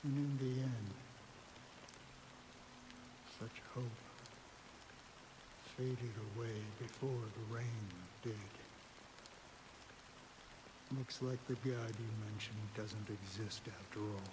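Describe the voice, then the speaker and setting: A man playing a weary, disillusioned storyteller in a fantasy game, speaking gravely and haltingly, like a philosopher. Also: medium pitch, lightly acted. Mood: bleak, resigned. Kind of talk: scripted game voice acting. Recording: steady rain noise behind.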